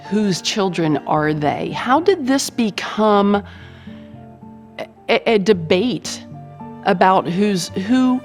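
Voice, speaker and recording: Woman asking lively, indignant questions. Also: mid-range voice. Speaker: interviewee.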